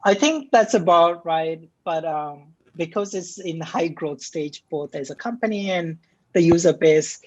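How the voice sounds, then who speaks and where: A man from the Maldives, a startup CTO, answering interview questions in a relaxed, hesitant way with many fillers. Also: on the high side, measured pace, highs cut off.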